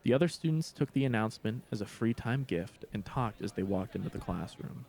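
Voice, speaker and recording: A man's voice: lowish voice, darkish tone. A man reading a scripted story aloud as its narrator.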